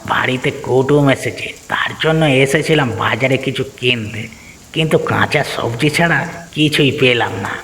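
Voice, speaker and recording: A man's voice: medium pitch, moderate pace, a bit bright, slight background noise, close to an everyday voice. A man voicing a chatty, concerned village friend who gives advice in a cartoon. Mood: friendly, advising. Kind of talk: Bengali cartoon voice acting.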